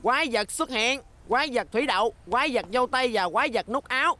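Woman voicing a blustering cartoon boy, highish voice. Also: lively intonation, quick pace, very noisy, lightly acted. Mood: heroic, declamatory. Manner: blustering, indignant, declamatory. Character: chubby, blustering young boy, rival superhero leader. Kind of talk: dubbed children's cartoon acting. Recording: loud music behind the voice.

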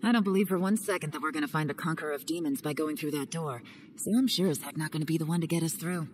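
A woman's voice: low voice, quick pace, darkish tone, slight background noise, close to an everyday voice. A woman voicing a cool, guarded female secret agent in a video game, speaking dryly. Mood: wry. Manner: dry, guarded.

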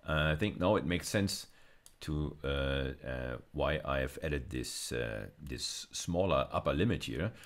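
A man, lecturing step by step in a deliberate, explanatory way with frequent check-in tags. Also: very deep voice, animated. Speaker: university lecturer. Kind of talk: explanatory video lecture with live coding demo.

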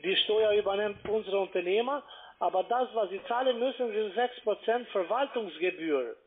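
A man with a foreign accent, speaking non-native German as he gives a telephone sales pitch. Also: normal speed. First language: not German. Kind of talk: sales pitch on a phone call. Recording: muffled narrow-band phone line.

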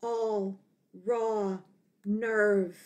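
A woman poet reading her poetry aloud in short, deliberate phrases with pauses. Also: medium pitch, level tone, a little mellow.

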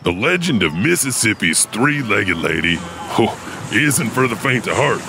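An actor playing a mysterious, darkly amused janitor who tells ghostly legends in theatrical horror narration. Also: male voice, lowish voice, very animated, normal speed, gravelly, background noise. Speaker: storyteller host of a horror anthology. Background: prominent music.

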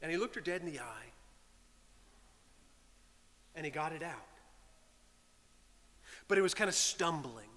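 Animated high-voiced priest in a reverberant church. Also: male voice, a little slow, long pauses. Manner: animated, swings between loud and hushed whispers. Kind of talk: storytelling sermon. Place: large stone church, speaking from a pulpit. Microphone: pulpit microphone.

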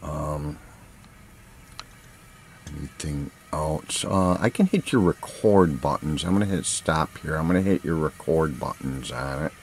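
A man selling gear gives a casual, unscripted demonstration, speaking plainly and haltingly in short phrases, recorded on a handheld camera indoors. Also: rumbling low, slight background noise. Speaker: seller demonstrating vintage audio gear for an online listing. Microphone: handheld camera, speaker behind it.

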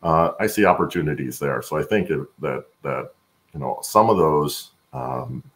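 A middle-aged man with a gravelly voice, explaining his work haltingly as an interview guest on a video call. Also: a bass voice, animated. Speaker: guest, supply chain expert. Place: room at home, on a video call.

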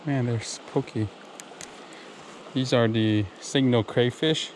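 A man's voice: mid-range voice, slow, poor audio. A young man narrating a casual outdoor vlog in an informal way, exclaiming in short bursts, recorded outdoors. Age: young adult. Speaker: vlogger, fishing and cooking channel host. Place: outdoors, wading in a wooded river. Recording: outdoor recording with clear running water noise. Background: stream water and ambient noise.

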